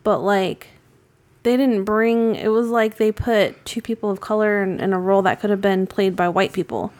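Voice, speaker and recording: A woman's voice: mid-range voice, steady tone, moderate pace, a little mellow. A woman from New England, co-hosting a podcast and chatting casually, rambling with plenty of fillers and offhand swearing. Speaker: podcast co-host. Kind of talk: casual chat.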